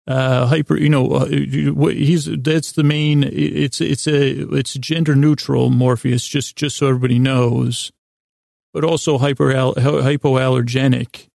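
A man's voice: medium pitch, little pitch movement, a little slow, vocal fry, a little mellow, no room sound, studio quality. A man hosting a bedtime podcast in a lulling, meandering improvised monologue, stammering and restarting his sentences as he drifts into tangents. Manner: meandering, stammering, with repeated false starts and tangents; lulling, soothing delivery. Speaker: host of a bedtime sleep podcast.

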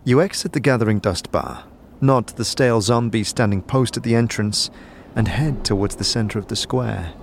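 A man's voice: on the low side, moderate pace, gravelly, slight background noise. A man giving scripted narration for a fantasy audio drama. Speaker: narrator and lead player.

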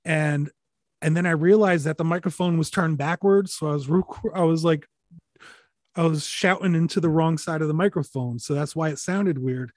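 Reflective man, higher voice, dry sound. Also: steady tone, normal speed, no echo. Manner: reflective, rambling with many fillers and restarts, casual swearing. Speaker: interview guest, recording artist. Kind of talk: relaxed conversational storytelling.

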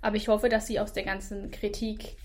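A woman's voice: medium pitch, a little fast. Chatty woman podcast co-host. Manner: lively opinions with frequent fillers. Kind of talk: casual review chat.

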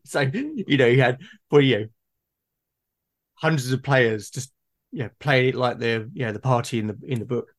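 A man's voice: medium pitch, lively intonation, moderate pace, long pauses. A middle-aged man in casual conversation, phrasing his thoughts hesitantly and doubling back on words. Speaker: podcast guest.

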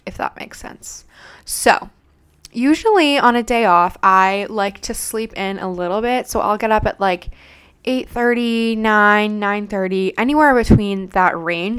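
A woman's voice: medium pitch, fairly bright tone, clear, resonant tone. A young woman hosting her own podcast, chatting casually and rambling with lots of fillers, sounding congested from a cold. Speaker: podcast host. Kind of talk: casual unscripted solo podcast chat.

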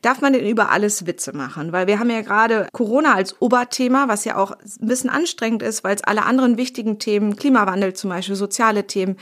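A woman's voice: medium pitch, a bit bright. A woman hosting a podcast interview, asking questions conversationally with long, winding lead-ins.

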